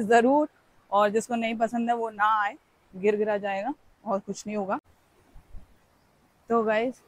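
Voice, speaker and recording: A woman's voice: fairly high pitch, rough recording. A young woman vlogging casually as she hikes outdoors on a forest trail, talking into a handheld phone camera in a chatty, playful way and exclaiming as she goes. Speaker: travel vlogger. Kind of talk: casual vlog walking commentary.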